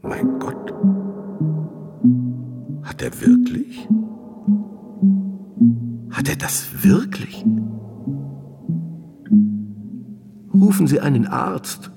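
An actor playing a distinguished, preoccupied middle-aged professor in a radio drama, with a husky voice. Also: male voice, high voice, very animated, extremely slow, long pauses, warm tone, exaggerated voice. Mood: dazed, dying. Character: distinguished older Austrian professor, preoccupied, later dazed and dying. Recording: long reverberant hall with music underneath. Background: music.

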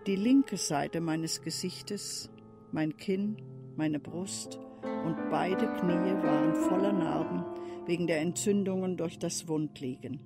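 A woman's voice: on the low side, slow, loud music behind the voice. An actress playing a sober adult woman survivor giving scripted testimony in a radio drama. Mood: sober, restrained.

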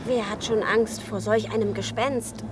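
A woman's voice: mid-range voice, fairly even intonation, unhurried, background noise, natural voice. A woman playing a plucky young chick in a children's radio play, with a practical, sceptical manner. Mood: confident.

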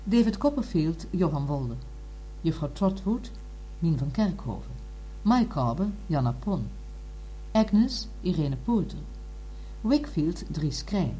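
A woman reading formally as a radio drama announcer, in a measured, deliberate manner. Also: low voice, big pitch swings, mellow, slight background noise, poor audio. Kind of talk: formal scripted credits reading.

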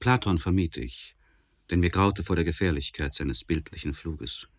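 An actor playing a tormented, learned gentleman in a literary radio monologue, speaking formally and deliberately in elevated, precise language. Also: sits low, animated, no room sound, studio quality, faint music behind. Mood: melancholy, lonely. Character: anguished, learned gentleman writing a confessional letter, tormented and precise.

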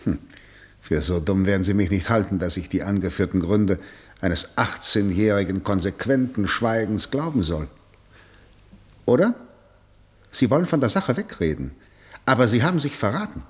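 An actor playing a formal, insistent senior prosecutor in a radio drama, questioning like a stern interrogator. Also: male voice, on the low side, animated, moderate pace, long pauses, close to an everyday voice. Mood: stern, probing. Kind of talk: 1960 German radio drama acting.

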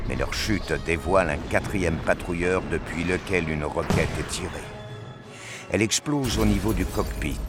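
A man reading scripted narration for a science fiction audio drama. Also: low-pitched.

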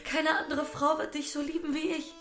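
Woman playing lovestruck accomplice, high voice, fervent, radio drama, echoing room. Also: level tone, normal speed, over music, natural voice. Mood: fervent, tearful. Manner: emotional, fervent. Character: devoted, lovestruck young woman, secret accomplice.

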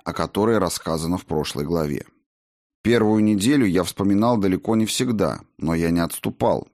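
A man reads a book aloud as a narrator, in a measured, instructive way. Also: low-pitched, a little mellow, no echo, clean studio sound. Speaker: audiobook narrator. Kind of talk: scripted book narration, first-person story and instruction.

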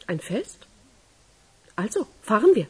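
A woman acting in a German radio crime drama as a sharp-witted, sceptical investigator who sounds dry, probing and sardonic. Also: mid-range voice, sing-song, takes it slowly, loud music behind the voice. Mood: wary, dry.

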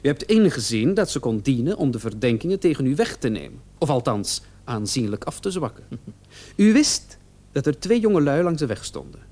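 A man acting a shrewd, persistent police officer in a radio play, questioning a suspect in a measured, probing way. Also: mid-range voice, big pitch swings, a little mellow. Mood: probing, pointed.

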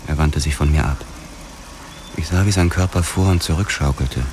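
A man narrating in the first person in a measured, hard-boiled style for a German radio drama. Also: extremely low pitch, noisy background.

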